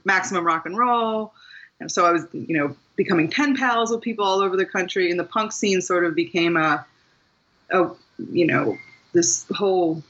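Guest author, woman, 40s, bright lower voice, thoughtful with ums, dull lo-fi line. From southern Maryland, USA. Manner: thoughtful, with frequent ums and restarts. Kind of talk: interview conversation.

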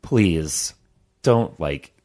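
A man's voice: low voice, animated, very slow, mellow. A young adult man co-hosting a podcast, speaking in a rambling, reflective way with many fillers and restarts. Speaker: podcast co-host. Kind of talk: casual conversational chat.

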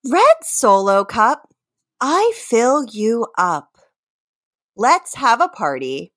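A woman's voice: a little high, very animated, very slow, fairly bright tone. A woman hosting a podcast in casual comedic chat, with a teasing manner and a theatrical streak when reading lyrics. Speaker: podcast host.

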